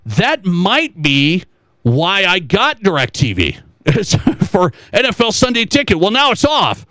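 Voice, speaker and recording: A man with a high, bright voice talks as a sports radio host, recorded close and dry. He is conversational and animated, often posing rhetorical questions to his audience. He repeats key phrases to drive a point home. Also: slow pace, no echo.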